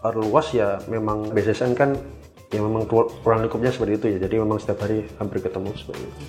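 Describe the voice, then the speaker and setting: A young man with an Indonesian accent giving casual explanatory answers as an interview guest, informal and halting as he thinks aloud. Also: on the low side, fairly even intonation, darkish tone, soft background music. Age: young adult. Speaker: interview guest, government cybersecurity engineer.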